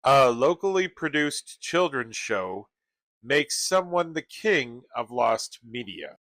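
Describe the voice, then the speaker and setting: An actor in a comic radio play playing a reluctant young sidekick who complains in a whiny, sarcastic, exasperated way. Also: male voice, medium pitch, big pitch swings, slow pace, fairly bright tone, no room sound.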